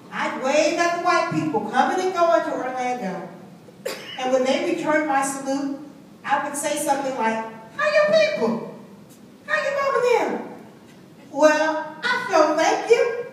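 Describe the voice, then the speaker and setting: Spirited young Southern woman, performed live by an actress, slow, emphatic stage storytelling, proud and playful, picked up from far off. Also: high-pitched. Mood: playful, reminiscing. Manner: theatrical, deliberate, emphatic, expressive. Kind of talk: dramatic monologue performed before an audience. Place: live reading in an echoing indoor venue. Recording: echoey room, distant mic, faint noise.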